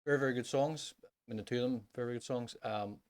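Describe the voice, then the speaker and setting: A man's voice: medium pitch, fast talker. A man with a Northern Irish accent chatting casually as co-host of a music podcast, with repetitive, emphatic phrasing. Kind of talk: casual chat about music.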